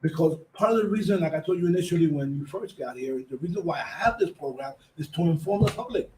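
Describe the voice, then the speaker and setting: A man's voice: a little high, lively intonation, fast, darkish tone, a little hiss or hum, poor audio. A man with a Puerto Rican accent hosting a radio talk show, chatting loosely, repeating words and slipping into Spanish. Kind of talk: casual interview chat.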